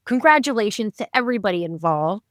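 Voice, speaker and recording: A woman's voice: mid-range voice, lively intonation, quick pace, no room sound, studio quality. A woman hosting a podcast, interviewing her guests in a conversational way. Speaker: podcast host.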